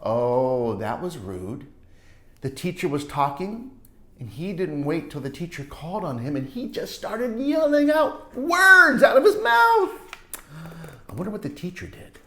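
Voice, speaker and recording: A woman's voice: fairly low pitch, very animated, unhurried, a bit bright. A woman reading a picture book aloud to children as a storytime teacher, playful and dramatic, with chatty asides. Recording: roomy echo.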